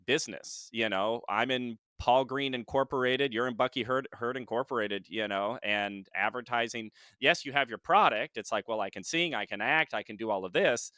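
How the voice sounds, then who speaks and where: A male podcast host who explains in long lists and talks quickly, in casual chat. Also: medium pitch, bright, forceful tone, clear, resonant tone, no room sound.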